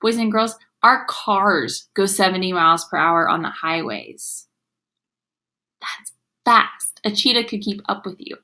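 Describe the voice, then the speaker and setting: A woman's voice: medium pitch, lively intonation, unhurried, sharp tone. A woman teacher reading aloud to young children, explaining as she goes in an instructive way and sounding out words. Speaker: elementary school teacher.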